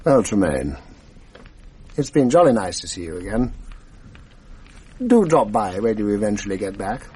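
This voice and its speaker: An actor playing a genial, chatty retired schoolmaster, older, in a 1980s radio drama. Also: male voice, on the low side, big pitch swings, moderate pace, long pauses, a little mellow, husky. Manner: genial, chatty, old-fashioned.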